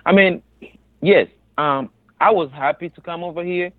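A man's voice: sits high, moderate pace, dry recording. A man with a Congolese accent giving earnest personal testimony in an interview, emphatic and often repeating his phrases. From Democratic Republic of the Congo, raised in a refugee camp in Tanzania. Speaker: interview guest, resettled refugee. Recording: narrow, phone-like sound.

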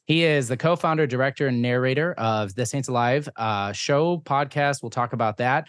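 A man's voice: medium pitch, moderate pace, dry recording. Man hosting a podcast, dry close sound. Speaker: podcast host. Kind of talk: conversational interview introduction.

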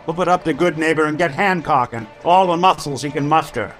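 An actor playing a world-weary, wry robot detective in an old-time radio noir style. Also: male voice, fairly high pitch, fairly quick, fairly bright tone, soft background music, close to an everyday voice. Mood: urgent. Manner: terse, wry, hardboiled.

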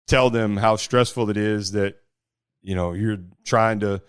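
A man with a big, deep voice, talking bluntly about running a startup in a casual podcast conversation. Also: clean tone, dry recording, clean studio sound. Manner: blunt and forceful, with mild swearing. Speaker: startup founder.

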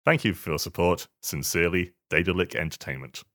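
Very deep-voiced man, dry close sound. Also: brisk, a bit bright, no echo. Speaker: podcast panellist. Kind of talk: casual podcast chat and reading aloud.

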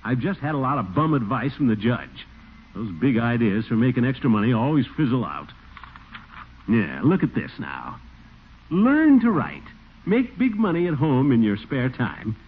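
An actor with an American accent playing a pompous, gullible middle-aged official in a vintage radio comedy, blustering and self-important. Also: male voice, mid-range voice, very animated, normal speed. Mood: weary. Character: pompous, gullible middle-aged water commissioner, easily flustered. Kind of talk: 1940s-50s American radio comedy acting. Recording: tinny, hissy old radio recording.

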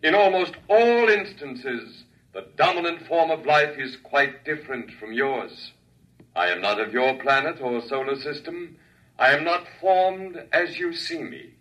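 A stately alien in the form of an elderly gentleman, giving a formal radio-play oration. The voice is highish and very animated, heard at a slight distance from the mic. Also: unhurried. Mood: lofty explaining. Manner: stately, formal, reassuring, grandiose. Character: tall, dignified alien lecturer disguised as bearded elder gentleman. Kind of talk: classic radio drama acting, formal oration. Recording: distant-miked vintage radio recording.

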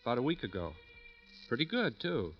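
An actor playing a pretentious, touchy bohemian would-be writer, affected and self-important, in an old radio crime drama. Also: male voice, mid-range voice, wildly swooping pitch, a little fast. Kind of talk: 1940s-50s radio crime drama acting. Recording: muffled old radio recording with music.

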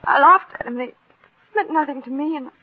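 An actress playing an anxious, trusting wife in a 1940s radio melodrama, hesitant, breathless and emotional. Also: female voice, sits high, fairly quick, close to an everyday voice. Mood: anxious, confessing. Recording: tinny, narrow old radio broadcast.

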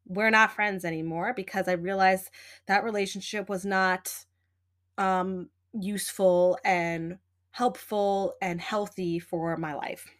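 A young adult woman hosting a solo podcast, talking in a loud, animated, emphatic way with plenty of fillers. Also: medium pitch, slow. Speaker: podcast host. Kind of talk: casual solo podcast talk.

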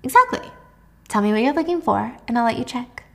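A woman's voice: on the high side, very animated, a little fast, creaky, a little mellow, exaggerated voice. A woman voicing a nosy, eager, stubborn female neighbor in a drama recap, inquisitive and bargaining. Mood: prying.

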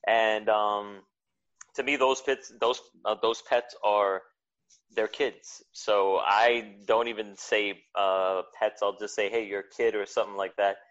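An earnest man talks at length about his work with dogs, padding his talk with fillers. His voice sits low, and the recording sounds muffled and lo-fi. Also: fairly even intonation, measured pace, fairly bright tone. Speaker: podcast guest, dog business owner. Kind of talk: casual interview chat. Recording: dull lo-fi sound.

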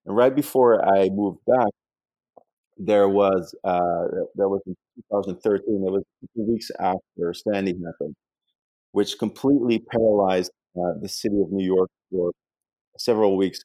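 A Dutch-accented man from the Netherlands, an architect answering interview questions in a halting way with many fillers and repeated words. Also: low voice, warm tone, no echo, low-quality recording. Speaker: guest author, architect.

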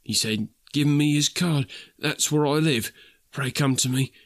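A male narrator gives voice to a gentle, grieving family man from a classic storybook. His pitch is lifted and his delivery is earnest and emotional. The recording is dry and close. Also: unhurried, dry recording. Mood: grateful, warm. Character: Bob Cratchit, tender, grieving, kindly working-class father.

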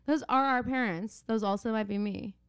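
A woman's voice: on the high side, normal speed, clean tone, no echo, studio quality. A young woman co-hosting a podcast, chatting casually and acting out characters' lines. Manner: animated, acts out characters' lines. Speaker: podcast co-host. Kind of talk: casual recap chat.

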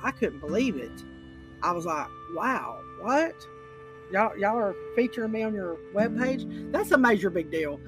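Woman podcast host, raspy and deep-voiced, chatty and rambling. Music bed behind her. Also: big pitch swings, unhurried, low-quality recording. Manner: rambling, repeats words, many "you know"s. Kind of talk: casual interview chat.

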